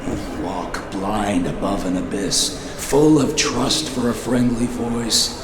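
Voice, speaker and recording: A man's voice: on the low side, fairly even intonation, slow pace. An actor playing a mocking, theatrical villain in a video game, grandiose, poetic and taunting, drawing out his words. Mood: ominous, taunting. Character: mocking, theatrical villain haunting a cursed realm. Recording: echoing voice over noisy ambience.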